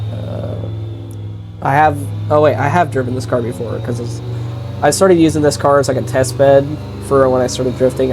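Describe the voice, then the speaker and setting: Stuffy-nosed man commenting on gameplay. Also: medium pitch. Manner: rambling and easygoing, with self-corrections and sudden exclamations while driving. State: stuffy-nosed from a sinus infection. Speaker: sim racing video creator.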